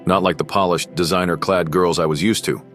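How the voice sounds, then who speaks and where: Man playing a cocky low-voiced teenage rich boy. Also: steady tone, fairly quick, a bit bright, soft background music. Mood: bored, then intrigued. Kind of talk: scripted first-person story narration with acted dialogue.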